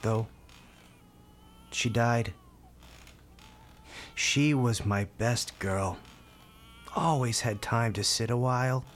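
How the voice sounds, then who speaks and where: A gentle young New guy in a radio play. He speaks very slowly and haltingly, in plain street words, guarded but soft at heart. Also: on the low side, slight background noise. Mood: shy, wistful. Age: young adult. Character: gentle, guarded young male hospital volunteer from the Bronx. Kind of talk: radio drama acting.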